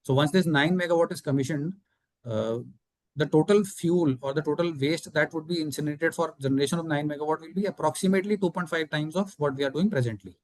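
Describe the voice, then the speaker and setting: A man's voice: on the high side, quick pace, a little mellow, no echo. A man with an Indian accent, a company executive giving formal, methodical answers on an earnings call.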